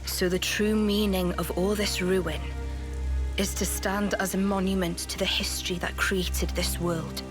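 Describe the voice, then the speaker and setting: A woman acting a wise female leader in a video game, speaking at a middle pitch. She is grave and measured, explaining like someone who carries old history. Clear music plays behind her. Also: level tone, natural voice. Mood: grave, solemn. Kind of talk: scripted video game cutscene dialogue.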